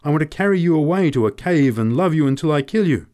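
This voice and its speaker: A man's voice: on the high side, expressive, fast talker, darkish tone, voice pushed up, natural voice. Lovesick, bitter man, raised and heated, read by a male narrator. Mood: impassioned. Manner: pleading and sneering by turns, emotional. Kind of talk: audiobook character reading.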